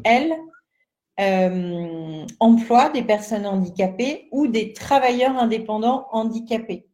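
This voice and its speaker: A woman's voice: medium pitch, dark tone. A woman from northern France speaking on a webinar panel, explaining things earnestly with frequent hesitations. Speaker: panelist, self-employed business owner.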